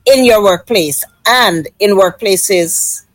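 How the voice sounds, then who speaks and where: A woman's voice: medium pitch, takes it slowly, no room sound, poor audio. A woman hosting a panel discussion, affirming speakers and inviting them to share. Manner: encouraging, affirming. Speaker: host, moderator.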